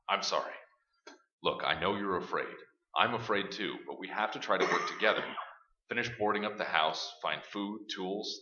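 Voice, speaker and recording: A man's voice: low-pitched, sharp tone. An actor playing a determined, protective man taking charge in a horror radio play, speaking urgently and practically, with a commanding manner. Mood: firm, reassuring. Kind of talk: staged radio play acting. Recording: roomy, dull, noisy live stage recording.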